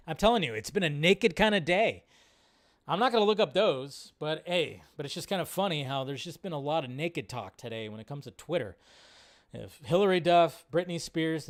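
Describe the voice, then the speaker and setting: A man hosting a livestream in a casual, off-the-cuff way, rambling and repeating phrases as he chats with viewers. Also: fairly high pitch. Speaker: livestream host. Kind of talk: casual live chat, reading and answering viewer questions.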